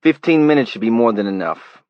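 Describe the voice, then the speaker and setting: A man voicing a pushy male tabloid reporter with a flat, nasal Brooklyn accent. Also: mid-range voice, brisk, no echo, dull audio, voice pushed up, close to an everyday voice. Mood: persistent. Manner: pushy, glib salesman patter.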